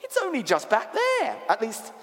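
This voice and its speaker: A man's voice: very high-pitched, wildly swooping pitch, moderate pace, fairly bright tone, in a large hall, voice pushed up, full character voice. A man voicing a polite, inquisitive young girl from a storybook, simple and earnest in manner.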